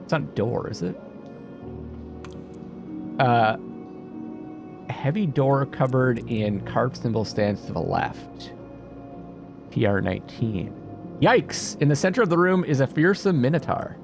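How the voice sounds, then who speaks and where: Male game streamer, mid-pitched and very expressive, reads on-screen text with drama and reasons aloud, clear music underneath. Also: measured pace, long pauses. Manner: animated, dramatic when reading aloud, thinking out loud. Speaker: video game streamer playing a narrative game. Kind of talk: let's-play commentary, reading game text aloud. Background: music behind the voice.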